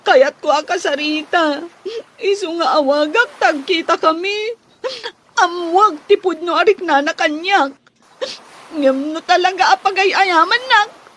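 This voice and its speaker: A woman's voice: very high-pitched, unhurried, fairly bright tone, a little hiss or hum. A woman playing a plaintive, emotional young housemaid in a radio drama, lovesick and weary of mistreatment. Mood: lovesick, tearful.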